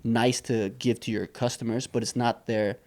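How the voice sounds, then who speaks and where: A young man from Saipan talks through his story in a relaxed, rambling way, with a gravelly edge in his voice. His speech is mid-pitched and full of fillers and restarts. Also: normal speed. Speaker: entrepreneur guest. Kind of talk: casual interview storytelling.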